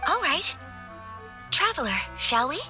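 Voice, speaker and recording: A graceful young noblewoman speaks gently and formally, humble and a little flustered. Her voice is high and very bright. Faint game music plays behind her. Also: sing-song, unhurried, exaggerated voice. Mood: earnest. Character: graceful, polite young noblewoman, modest and shy. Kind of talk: game cutscene voice acting.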